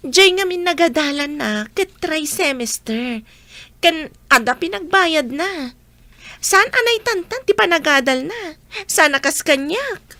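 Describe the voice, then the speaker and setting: A woman plays an earnest family woman in an audio drama. Her high voice is lively in pitch and unhurried, closely recorded and dry. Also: dry recording, exaggerated voice. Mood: complaining.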